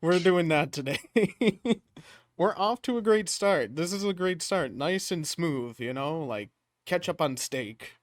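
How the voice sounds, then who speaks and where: A man's voice: fairly high pitch, lively intonation, measured pace, no echo, clean studio sound. A man in his twenties hosting a podcast, chatting casually and joking with frequent laughs. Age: 20s. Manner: jokey, laughs often. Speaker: podcast host.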